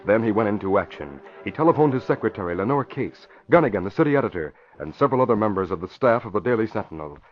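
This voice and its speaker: A man's voice: on the low side, expressive, quick pace, hardly any pauses. A man announcing in 1940s radio style, with emphatic, polished scripted delivery. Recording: muffled vintage radio broadcast.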